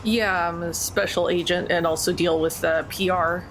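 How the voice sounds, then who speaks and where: A woman's voice: lowish voice, steady tone, normal speed, fairly bright tone, a little hiss or hum, poor audio. A woman speaking casually as a player explaining her character in a tabletop game.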